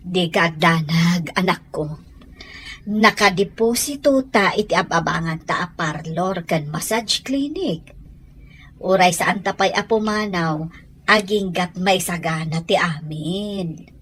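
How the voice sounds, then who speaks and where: A woman playing a gentle, reassuring older mother in an audio drama. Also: fairly low pitch, slow pace, slight background noise. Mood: calm, affectionate. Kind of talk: Ilocano audio drama acting.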